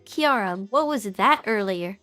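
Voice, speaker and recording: A woman's voice: mid-range voice, animated, unhurried, fairly bright tone, dry recording, soft background music. A woman acting in an animatic audio drama as an earnest, protective young streamer who worries about her friends. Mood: concerned. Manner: earnest, questioning.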